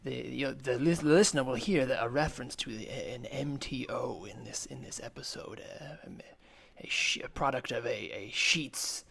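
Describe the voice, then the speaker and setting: A man voicing a hesitant, stammering scholar of the future, speaking formally and in hushed tones in an improvised comedy sketch. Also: mid-range voice, normal speed. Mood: conspiratorial, hesitant. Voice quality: hushed, creaky. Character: stammering male scholar of the future whispering furtively in library.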